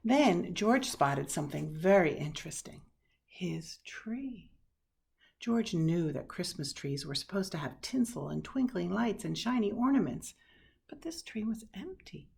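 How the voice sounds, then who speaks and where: A woman reading a children's picture book aloud expressively, with dramatic pauses and hushed asides. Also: on the low side, very animated, gravelly, husky. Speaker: storybook reader.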